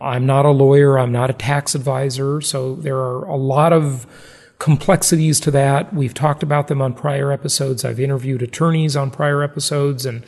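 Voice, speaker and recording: A man's voice: medium pitch, fairly even intonation, moderate pace, creaky, a little mellow, long reverb tail. An American man hosting a podcast in a conversational, salesy way, repeating phrases for emphasis. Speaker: podcast host, real estate investing.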